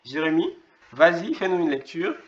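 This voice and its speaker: A man's voice: on the high side, sing-song, moderate pace, dull audio. Encouraging male speaking coach, roomy sound. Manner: directive, encouraging, repeats words. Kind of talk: coaching a class.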